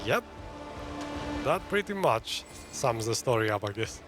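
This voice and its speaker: Lively male gamer over loud game music. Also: medium pitch, measured pace. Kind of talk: casual gameplay commentary.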